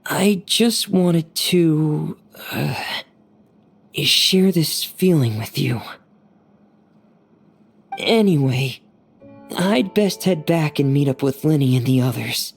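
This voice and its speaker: A woman voicing a shy, gentle, soft-spoken teenage boy in a game dub, speaking in a hesitant, earnest way. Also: low voice, expressive, slow, creaky, long pauses, faint music behind, full character voice. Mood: calm, sincere. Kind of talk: English anime game dub acting.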